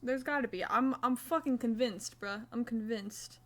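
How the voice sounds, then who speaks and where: A young woman with a fairly high voice talks to her live-stream chat while crocheting. She is loose and self-mocking, and she swears casually as she goes. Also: moderate pace, a bit bright.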